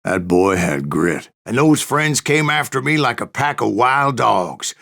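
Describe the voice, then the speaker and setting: A grizzled old gunslinger narrates his exploits for a Western video game. His voice is full of gravel and fry at an ordinary male pitch, with an American Western accent. He tells it like a folksy, salty raconteur with a wry edge. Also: lively intonation, unhurried, fairly bright tone. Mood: wry, boastful. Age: older.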